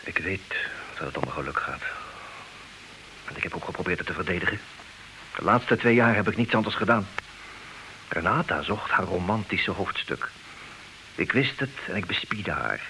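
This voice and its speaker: Wry, resigned betrayed husband, swooping delivery. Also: male voice, low-pitched, very animated, normal speed, long pauses, sharp tone, heavily acted, rough recording. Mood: rueful. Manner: theatrical, wry, swinging between agitated and resigned. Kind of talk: Dutch radio play acting, comic drama. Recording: hissy old radio play recording.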